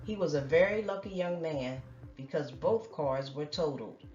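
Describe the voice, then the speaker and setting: A woman reporting celebrity news in a steady, read-out narration. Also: deep voice, moderate pace, far from the mic. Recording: roomy, dull sound with faint music.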